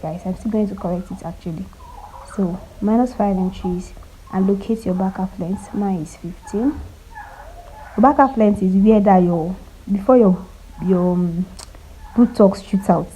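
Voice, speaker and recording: A woman with a cold walking viewers through cutting and sewing a top, speaking quietly and stopping often to check they are following. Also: breathy edge, low-quality recording. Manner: quiet, low-volume delivery. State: has a cold. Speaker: sewing tutor. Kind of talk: casual step-by-step sewing tutorial.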